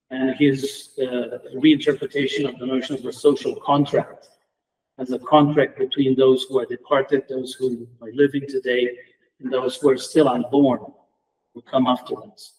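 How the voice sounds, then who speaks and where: A middle-aged man from Romania with a Romanian accent, a native Romanian speaker giving a reflective memorial tribute as an English department lecturer, measured and halting with restarts. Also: medium pitch, darkish tone, distant mic, low-quality recording. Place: lecture room at a memorial round table.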